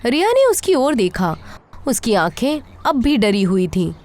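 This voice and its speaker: High-pitched woman narrating horror with dramatic swings. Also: big pitch swings, a little slow, a little mellow. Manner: suspenseful, theatrical. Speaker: storyteller, narrator.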